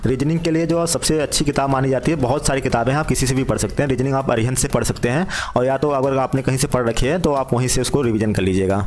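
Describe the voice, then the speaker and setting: A man's voice: medium pitch, fast, few pauses, a little mellow. A man giving an informal instructional explainer, repeating key points for emphasis as he goes. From India. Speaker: job exam guidance presenter. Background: background music.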